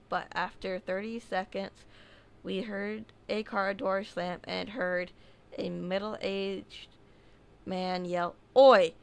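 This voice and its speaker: A woman narrating a submitted true horror story, reading slowly with small slips and casual asides. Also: medium pitch, clean tone.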